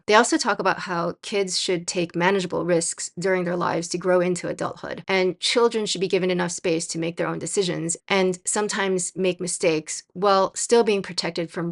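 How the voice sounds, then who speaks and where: A young woman from the United States talks through her argument in a scripted commentary video. Her voice sits low and has a gravelly, fry-tinged edge. She sounds opinionated and a little sarcastic as she makes her points. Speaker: video essayist.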